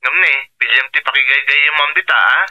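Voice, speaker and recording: A man's voice: medium pitch, fairly quick, lightly acted. A man with a Filipino accent acting a concerned, affectionate husband in a radio drama, on a dull lo-fi call. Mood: caring.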